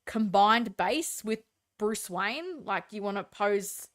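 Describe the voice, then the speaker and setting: A woman's voice: mid-range voice, lively intonation, slow, fairly bright tone. A woman with a stuffed-up, congested voice hosts a casual live stream, chatting opinionatedly and answering viewer comments.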